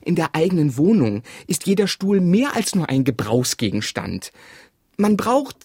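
An exasperated sentimental guy, husky, voice swings up and down a lot, radio play. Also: on the high side, big pitch swings, normal speed, darkish tone, dry recording, studio quality, exaggerated voice. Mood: insistent, wounded. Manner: insistent, emphatic, repetitive. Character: exasperated, sentimental partner clinging to household belongings. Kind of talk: radio drama dialogue acting.

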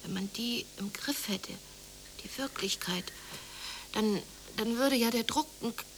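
A woman's voice: fairly high pitch, measured pace, lightly acted. An actress playing a despondent, worn-out mother with a brooding, exasperated manner in a radio play. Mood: despairing. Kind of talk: German radio play acting. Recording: noisy 1980s radio play recording.

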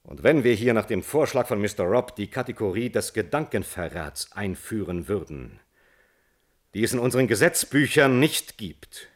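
An actor playing an earnest defence lawyer, formal and deliberate, making a plea in a German radio drama. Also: male voice, on the low side, animated. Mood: earnest, insistent. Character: measured, earnest defence lawyer pleading at a hearing.

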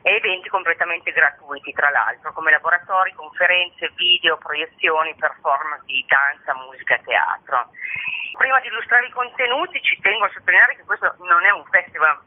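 Woman artist explaining her work in an interview, thin phone-like sound. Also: lowish voice. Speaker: artist presenting her own exhibition. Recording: narrow tinny telephone-like line.

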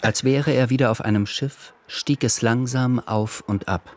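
A man narrating a literary radio drama with measured, deliberate phrasing. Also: mid-range voice, fairly even intonation. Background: music.

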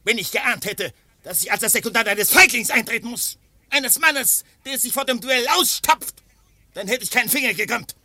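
A blustering old French colonel. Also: male voice, very high-pitched, normal speed, bright, forceful tone, no room sound, heavily acted. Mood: indignant. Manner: pompous, indignant, sprinkles French phrases. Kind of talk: radio play acting.

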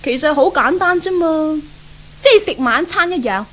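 High-voiced spoiled, willful young Hong Kong woman. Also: animated, normal speed. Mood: scornful, petulant. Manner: haughty, petulant, mocking. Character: spoiled, willful, thrill-seeking young woman, doted-on only daughter. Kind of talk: 1970s Cantonese radio drama acting.

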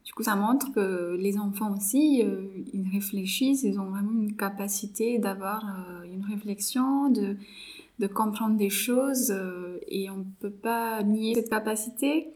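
A woman in her twenties from India, a native Marathi speaker, talking in a relaxed, hesitant way with many pauses as she thinks aloud about her studies and her mentoring. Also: medium pitch. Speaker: psychology master's student and volunteer mentor. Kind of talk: casual interview chat.

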